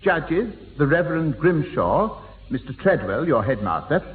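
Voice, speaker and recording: A man's voice: a little high, measured pace. A man playing a nervous, gloomy young pal in a 1960s radio sitcom. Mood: worried. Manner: worried, animated. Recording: tinny, reverberant old radio broadcast.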